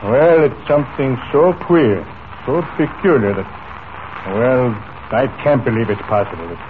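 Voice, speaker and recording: A man's voice: mid-range voice, lively intonation, lightly acted. Actor as a folksy oilman on crackly old radio. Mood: grave, intrigued. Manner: easygoing, folksy, deliberate storyteller. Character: genial, folksy wealthy Texas oilman, a grown man. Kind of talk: 1940s radio acting. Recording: noisy, tinny old radio recording.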